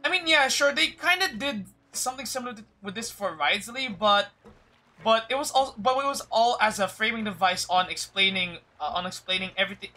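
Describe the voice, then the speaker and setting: A streamer talks casually over a game in a bright, forward voice. The delivery is halting, circling back over phrases while thinking. Faint music sits in the background. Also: mid-range voice. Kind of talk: casual live game commentary and reading dialogue aloud.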